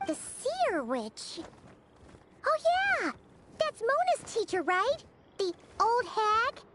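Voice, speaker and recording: A woman voicing a small, cheeky, childlike fairy companion who teases in an animated video game. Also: very high voice, takes it slowly, heavy background noise, exaggerated voice. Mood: surprised. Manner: teasing, exclaiming.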